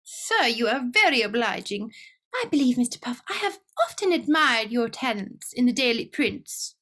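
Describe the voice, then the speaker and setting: A woman's voice: fairly high pitch, big pitch swings, moderate pace, fairly bright tone. A woman playing a dry, sardonic society lady critic in a period stage comedy, arch and politely mocking. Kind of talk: theatrical period comedy play reading.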